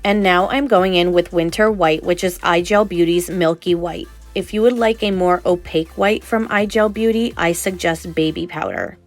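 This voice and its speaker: A young adult woman talks viewers through a nail tutorial in a chatty, explanatory way. She sounds a little stuffed up and nasal from a cold, and her voice sits on the lower side. Soft background music plays faintly behind her. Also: moderate pace, few pauses. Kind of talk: tutorial voice-over narration.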